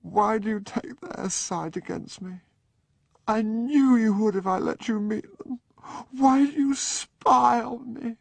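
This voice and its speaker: A man's voice: lowish voice, normal speed, pauses often, a little mellow, no echo, voice pushed up, close to an everyday voice. A man putting on a distraught young aristocrat. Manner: emotional, pleading, clipped upper-class delivery. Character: young English aristocrat man, drunk, wretched and sullen.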